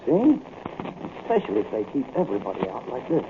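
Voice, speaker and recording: An American actor playing a nosy, pushy small-time crook with brash, slangy talk in a 1940s radio drama. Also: male voice, mid-range voice, huge pitch swings, fast. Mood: curious, scheming. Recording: muffled, hissy old radio recording.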